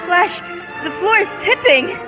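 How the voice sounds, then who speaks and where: A woman's voice: very high-pitched, animated, unhurried. A teenage female student actor playing a devoted, defiant young damsel in distress in melodramatic radio serial style. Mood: alarmed. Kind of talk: old-time radio serial acting. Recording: music behind the voice.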